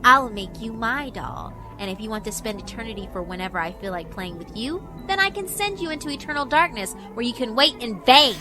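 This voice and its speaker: A woman's voice: a little high, expressive, natural voice. A woman voicing a cruel, mocking female school spirit in a horror visual novel, haughty and theatrical. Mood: taunting, menacing. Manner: taunting, haughty, drawn-out theatrical delivery.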